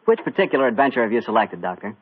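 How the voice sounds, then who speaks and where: A man's voice: mid-range voice, big pitch swings, very fast, a little hiss or hum. A man with an American accent, announcing and selling in folksy 1940s radio style. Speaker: announcer and sponsor pitchman. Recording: tinny old radio broadcast.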